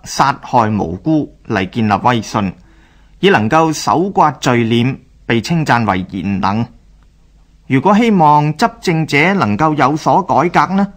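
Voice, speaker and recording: A man with a Hong Kong Cantonese accent narrates a scripted historical radio drama in a measured, formal storyteller's manner. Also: medium pitch.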